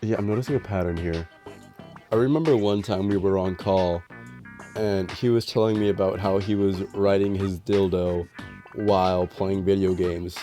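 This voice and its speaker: A young man with a cold talks to his mic in a loose, meandering storytime ramble, cursing and mocking himself. His voice sits a bit low and sounds stuffy and nasal. Faint music plays quietly underneath. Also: steady tone, moderate pace. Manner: rambling, profane, self-deprecating storytelling. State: sick, nasal. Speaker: storytime vlogger. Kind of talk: casual storytime vlog. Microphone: dedicated voice mic.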